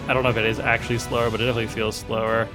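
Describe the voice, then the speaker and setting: A man's voice: mid-range voice, little pitch movement, rapid-fire, music in the background. A man giving rambling, casual commentary over his gameplay, with frequent ums and restarts. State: sick with a cold, voice going. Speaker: gaming video creator.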